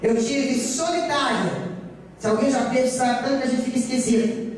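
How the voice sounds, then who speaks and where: A woman's voice: high voice, moderate pace, darkish tone. A forty-year-old woman preacher with a Goiás Brazilian accent, giving an emphatic, repetitive sermon built on personal testimony. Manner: emphatic, repetitive oratory with rhetorical questions to the congregation. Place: church during a live service. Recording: echoey church hall, distant mic, faint noise.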